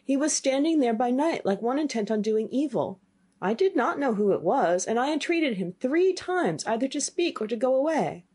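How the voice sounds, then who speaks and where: A woman's voice: sits high, lively intonation, moderate pace, darkish tone, slightly rough, voice pushed up. A woman voicing a naive, fearless young man who talks in an eager, raised voice. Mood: pleading.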